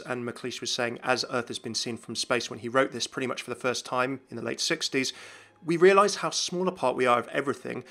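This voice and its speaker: A man's voice: mid-range voice, sharp tone. A man hosting a gaming news video in scripted, explanatory voice-over narration. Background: music.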